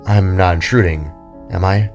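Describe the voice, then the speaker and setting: A man playing a gentle, concerned father in a fantasy audio story, speaking carefully. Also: deep voice, moderate pace, faint music behind, voice pushed down. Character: gentle, concerned father spark, adult male.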